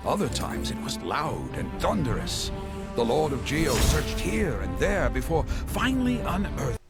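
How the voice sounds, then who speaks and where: An actor playing a storyteller who recites an old legend in a video game, with dramatic flourishes. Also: male voice, on the high side, very animated, moderate pace, vocal fry. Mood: wondrous narration. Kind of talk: scripted game cinematic narration. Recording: game audio under loud music.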